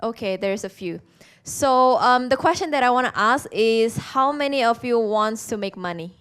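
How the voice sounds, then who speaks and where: A woman's voice: fairly high pitch, animated, normal speed, fairly bright tone, clean tone. A woman from Indonesia with an Indonesian accent, speaking as a presenter to a conference audience in an interactive way.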